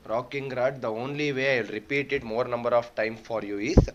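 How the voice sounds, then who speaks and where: A man's voice: mid-range voice, fairly even intonation, moderate pace, a bit bright. A man teaching a live math lesson, explaining step by step and repeating points. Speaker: online exam-prep teacher. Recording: dull lo-fi audio with faint noise.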